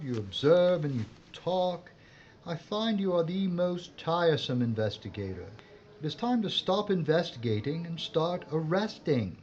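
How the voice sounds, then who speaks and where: An actor playing an impatient, blustering older chief inspector in an audio drama, scolding and emphatic. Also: male voice, fairly high pitch, darkish tone, highs cut off, slight background noise.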